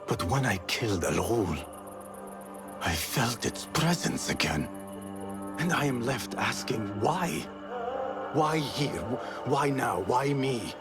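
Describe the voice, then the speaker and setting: An actor playing a troubled, earnest young assassin novice in a video game, speaking formally and in a measured way. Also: male voice, mid-range voice, big pitch swings. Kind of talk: dramatic video game acting. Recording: dramatic music behind the voice.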